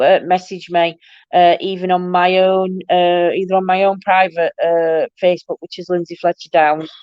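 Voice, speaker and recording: A middle-aged woman hosts a casual livestream. Her voice is croaky and a bit nasal, and she rambles affectionately to her viewers. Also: lowish voice, no room sound, highs cut off. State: croaky, nasal from hay fever. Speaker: livestream host. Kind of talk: casual live-stream chat with viewers.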